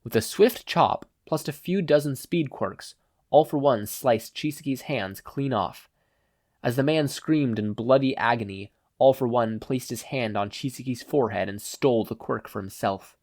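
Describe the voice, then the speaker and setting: A man's voice: medium pitch, normal speed, a little mellow. Male narrator reading a story. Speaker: podfic narrator.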